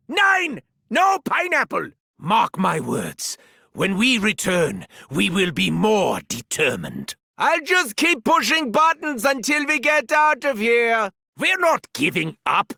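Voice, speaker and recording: An actor playing a gleefully sadistic German mad scientist villain in a video game, theatrical and grandiose, with a German accent and mocking, formal phrasing. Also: male voice, high-pitched, gravelly, sharp tone, no room sound, clean studio sound, heavily acted. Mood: manic, gleeful. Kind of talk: video game character voice lines.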